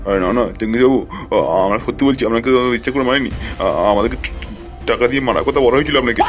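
A man voicing a nervy small-time hired thug in a cartoon, colloquial and excitable, with music behind. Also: medium pitch, fast, close to an everyday voice. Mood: frightened pleading. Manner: colloquial, excitable, stammers when frightened.

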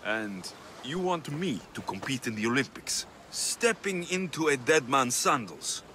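Bright, forceful warrior. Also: male voice, medium pitch, lively intonation, measured pace, slight background noise. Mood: wry, reluctant. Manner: blunt, wry, assertive. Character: confident, dry-witted male Greek mercenary warrior. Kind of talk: video game cutscene acting.

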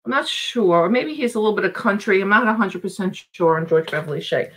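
A woman's voice: mid-range voice, lively intonation, fast, fairly bright tone. A middle-aged woman with a New York accent, hosting a live auction and chatting casually as she reads out album titles. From Long Island, New York. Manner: chatty, thinking aloud while reading album titles. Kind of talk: casual live-stream selling records. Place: small home room full of shelves.

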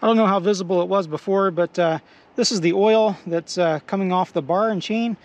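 A man's voice: high voice, a little fast. Man explaining a repair in his workshop. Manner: relaxed, explanatory, frequent "uh" and "um". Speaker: channel host. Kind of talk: how-to repair demonstration. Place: workshop garage, indoors.

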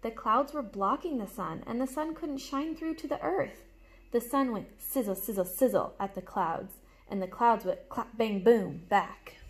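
Young woman reading aloud, fairly high voice. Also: measured pace. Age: young adult. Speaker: teacher reading aloud to pupils. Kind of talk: storybook read-aloud with teacherly asides. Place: small room at home, indoors. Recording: clear background noise, room ambience.

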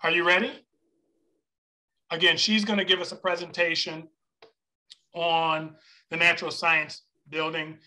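A man chairing a formal meeting over a video call, explaining things in a measured way. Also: on the high side, level tone, bright, forceful tone.

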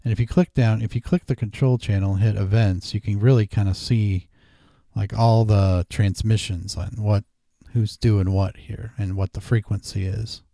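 A man hosting a casual screen-recorded software tutorial, explaining each step informally. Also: lowish voice, steady tone, normal speed, vocal fry, no echo, studio quality. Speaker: tutorial host. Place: indoors at a desk.

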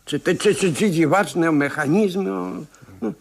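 An actor playing a fussy, deferential expert who stammers and hesitates in a 1970s radio play. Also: male voice, high voice, a little slow, creaky, heavily acted. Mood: nervous, hesitant. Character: timid, fussy male expert witness, deferential.

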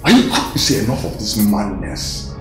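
A man's voice: lowish voice, huge pitch swings, moderate pace. A man with a Nigerian accent playing an insistent, domineering cheating husband in a melodrama, repeating his questions and phrases. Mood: angry, indignant. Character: cheating husband, pleading lover and bullying domineering household boss. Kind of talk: melodramatic screen drama acting. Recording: roomy echo with music behind.

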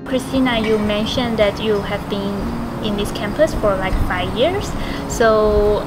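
A woman's voice: mid-range voice, measured pace, low-quality recording. A young woman hosting a casual interview outdoors, friendly and prompting with questions. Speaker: host. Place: outdoors on a university campus. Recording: soft music bed under speech.

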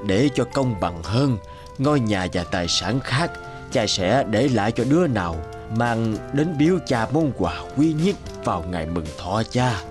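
An actor playing a gentle, frail old peasant father, speaking slowly and tenderly in an animated folk tale, with music behind him. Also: mid-range voice, lightly acted. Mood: tender, solemn. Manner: slow, measured, tender.

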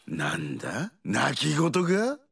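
An actor playing a brash, cocky ageing brawler in a video game, with rough, taunting talk and a gravelly voice. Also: male voice, medium pitch, huge pitch swings, slow pace, fairly bright tone, exaggerated voice. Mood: mocking, taunting. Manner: rough, swaggering, taunting slang. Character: brash, rowdy, cocky ageing male explosives-loving brawler. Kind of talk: video game character voice lines.

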